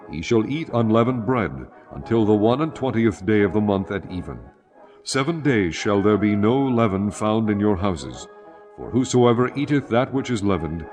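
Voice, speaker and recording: A man narrating scripture from a script in a solemn, measured, formal way. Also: fairly low pitch, a little mellow. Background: music.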